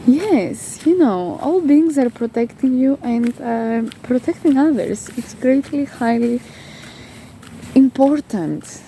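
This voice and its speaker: A Greek woman with a Greek accent giving a casual motivational coaching talk while walking outdoors, halting and emphatic with drawn-out words. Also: high-pitched, animated, dark tone, slight background noise, poor audio. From Greece. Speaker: spiritual life coach.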